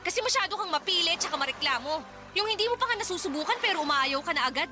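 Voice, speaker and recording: A woman's voice: very high-pitched, lively intonation, racing, music in the background. A woman with a Filipino accent acting a tired, exasperated young working woman in a radio drama, sarcastic and scolding. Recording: noisy ambient background.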